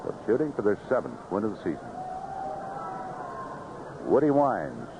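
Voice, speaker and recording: A man's voice: on the low side, wildly swooping pitch, normal speed, vocal fry, dull audio, noisy background. A man calling baseball play-by-play on 1970s radio in clipped phrases with vivid descriptive calls, on a band-limited old broadcast. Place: ballpark broadcast booth.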